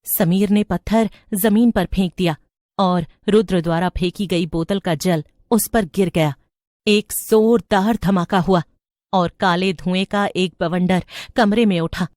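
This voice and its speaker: A woman's voice: mid-range voice, darkish tone, no room sound, studio quality. A woman reading scripted narration for a horror story.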